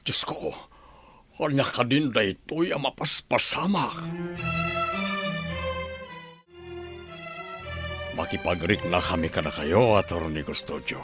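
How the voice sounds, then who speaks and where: An actor with an Ilocano accent playing a sober, concerned army general in a radio drama, grave and measured. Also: male voice, on the low side, animated, over music, lightly acted. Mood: shocked, solemn.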